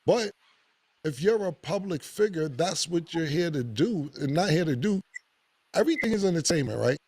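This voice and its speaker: Blunt gravelly New podcast host. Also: male voice, normal speed, slight background noise. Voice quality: deep voice. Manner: blunt, animated, swears freely. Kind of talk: casual opinionated podcast talk.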